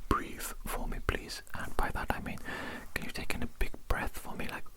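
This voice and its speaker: A person whispering softly and breathily close to the microphone in an ASMR roleplay as a polite, reassuring doctor, gently repeating phrases. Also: normal speed. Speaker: ASMR performer roleplaying a doctor examining an alien.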